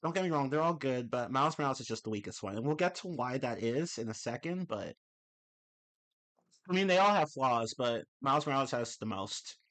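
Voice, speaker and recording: A man's voice: medium pitch, animated, fairly quick, fairly bright tone. Rambling young man streaming with viewers. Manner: rambling, self-correcting, casual swearing. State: slightly off voice after a cold. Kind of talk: casual live-stream chat, reading chat aloud.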